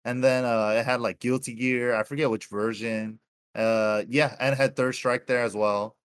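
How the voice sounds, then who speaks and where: A man's voice: mid-range voice, steady tone, normal speed, a bit bright, clear, resonant tone. A man in his thirties, from Hawaii, chatting casually as a podcast guest and calling people "dude" a lot. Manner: laid-back, keeps calling people "dude". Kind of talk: casual chat.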